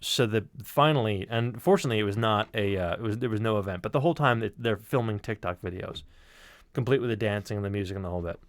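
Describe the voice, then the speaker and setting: A man's voice: lowish voice, fast talker, dry recording. Man telling a story, close dry sound. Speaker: storyteller on a podcast stream. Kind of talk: casual storytelling.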